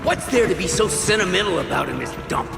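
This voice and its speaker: Gravelly, high-voiced impatient man. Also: big pitch swings, fast, full character voice. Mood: scornful. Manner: dismissive, grumbling. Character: callous, impatient, grumbling townsman. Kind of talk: video game voice acting. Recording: echoing long reverb with music behind.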